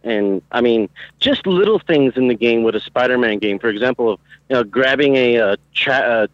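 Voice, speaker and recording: A man calling in to a show, chatting casually with a halting, rambling delivery. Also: medium pitch, lively intonation, no room sound. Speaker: caller. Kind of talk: casual chat. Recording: dry, dull lo-fi call audio.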